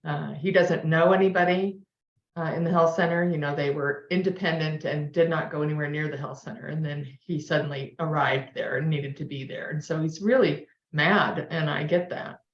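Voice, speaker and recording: Low-voiced woman in an echoey room. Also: fairly even intonation, moderate pace, lo-fi sound. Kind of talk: teleconference case discussion.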